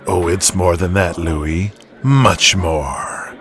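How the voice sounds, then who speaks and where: A man's voice: low-pitched, slow pace, a bit bright. A man voicing a sly, sinister male wrestling promoter who schemes as he talks. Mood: smug, scheming.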